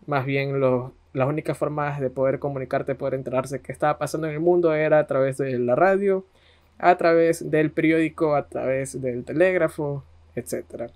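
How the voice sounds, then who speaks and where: Ecuadorian guy podcast host, kinda high voice, telling history stuff like he's chatting. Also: steady tone, normal speed, darkish tone. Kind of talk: conversational history narration.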